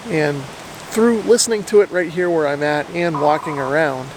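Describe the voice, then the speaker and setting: A man's voice: fairly high pitch, a little hiss or hum, poor audio. A man narrating a casual vlog in an informal, explanatory way, thinking aloud as he goes. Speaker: vlogger, radio hobbyist.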